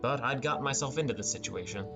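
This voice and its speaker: A man's voice: mid-range voice, quick pace, over music. A man narrating a scripted first-person fantasy adventure as an audiobook.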